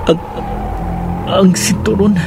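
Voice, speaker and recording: A woman's voice: medium pitch, steady tone, takes it slowly, lightly acted. A woman voicing a frightened, guilt-ridden young boy in a radio horror drama, pleading and fearful. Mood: hushed. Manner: pleading, fearful inner monologue. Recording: radio drama with loud music bed. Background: music.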